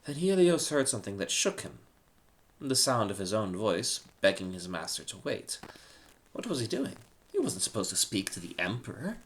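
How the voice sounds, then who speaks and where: A man's voice: on the low side, sing-song, moderate pace. A man reading a humorous short story aloud in a dramatic scripted narration. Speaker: story reader.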